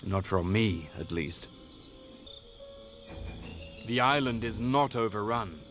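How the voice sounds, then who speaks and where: A man playing a grave, weary elf knight in a fantasy game, speaking in a measured, formal way. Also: on the low side, lively intonation, music in the background. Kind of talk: fantasy game character acting.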